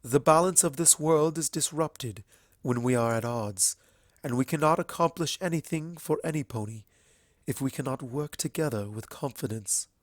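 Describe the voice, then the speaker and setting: A man voicing a kind, earnest female alicorn pony princess in a storybook reading, speaking in a solemn, measured way. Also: medium pitch, dry recording, studio quality, voice pushed up, natural voice. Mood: tender. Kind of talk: fan fiction storybook reading.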